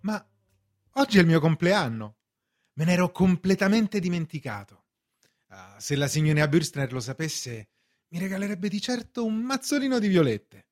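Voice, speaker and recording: Give the part of an indignant thirty-year-old clerk played by a male actor in a radio play. His voice is fairly high and swings widely in pitch. He is bewildered and fussy, protesting in exclamations and questions. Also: very animated, moderate pace. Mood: musing, petulant. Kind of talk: theatrical radio drama acting.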